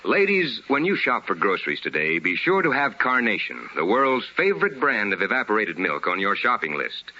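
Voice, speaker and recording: A male radio announcer with a low, very forceful voice. His delivery is polished, formal and salesmanlike, in 1950s style, with big swings of intonation. The vintage recording is dull and slightly noisy. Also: sing-song, moderate pace, hardly any pauses.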